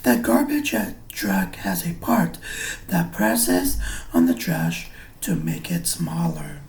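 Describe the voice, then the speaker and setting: A man reading a picture book aloud as a teacher, explaining things simply and deliberately for young children. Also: fairly high pitch, wildly swooping pitch, gravelly, whispered. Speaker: preschool or early grade teacher.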